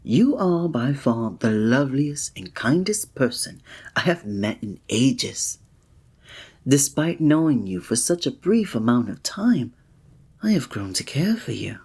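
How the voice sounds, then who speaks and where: Man acting a breathy, courteous fantasy innkeeper. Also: medium pitch, sing-song, takes it slowly, pauses often, heavily acted. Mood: tender, hopeful. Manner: formal old-fashioned diction, gentle and attentive. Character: kindly, flirty male village innkeeper, courteous and tender. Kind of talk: scripted roleplay audio drama, one-sided conversation with the listener.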